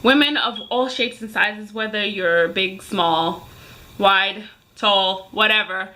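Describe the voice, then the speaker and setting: Bright-voiced woman in her thirties, chatting candidly. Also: medium pitch, takes it slowly, low-quality recording. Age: 30s. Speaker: vlogger. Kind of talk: casual ranting chat to viewers.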